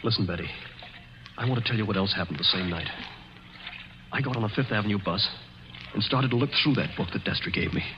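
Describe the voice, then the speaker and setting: A man's voice: lowish voice, fast, long pauses, lightly acted. An actor playing a firm, guarded, desperate young man in a 1940s radio drama. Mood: urgent. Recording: dull old radio broadcast, faint hiss.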